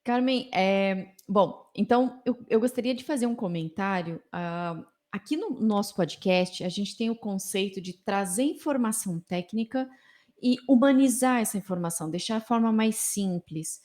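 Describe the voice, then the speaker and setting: Brazilian woman, Brazilian Portuguese accent, podcast host; mellow warm tone, chatty and full of fillers. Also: medium pitch, expressive, moderate pace. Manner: chatty, many fillers and affirming murmurs. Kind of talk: conversational podcast interviewing.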